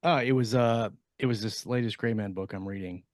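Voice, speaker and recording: A man's voice: lowish voice, fairly quick, darkish tone, no echo, studio quality. A man in casual discussion as a podcast co-host, explaining in a rambling, hedging way.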